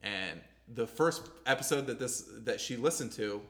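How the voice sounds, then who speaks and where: A man's voice: medium pitch, expressive, normal speed, fairly bright tone. A young man co-hosting a podcast in casual chat, rambling a little, swearing often and laughing easily. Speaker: podcast co-host.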